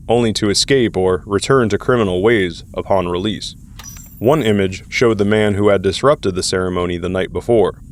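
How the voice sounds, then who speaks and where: A man's voice: lowish voice, slight background noise. A man reading scripted narration for an audio drama. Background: background music.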